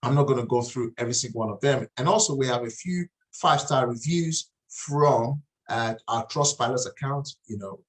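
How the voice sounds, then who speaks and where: Man, Nigerian accent, webinar trainer, earnest, repeats himself, middle-aged, mid-pitched voice. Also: low-quality recording. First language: Nigerian language. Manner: earnest, repeats and restarts phrases. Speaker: property investing trainer. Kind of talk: webinar presentation.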